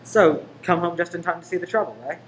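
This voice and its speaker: Woman playing brash, low-pitched undercover man, slightly noisy. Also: steady tone, fast talker, natural voice. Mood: cheeky. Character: Ted, cheeky undercover detective posing as greengrocer's man. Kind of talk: amateur radio play acting.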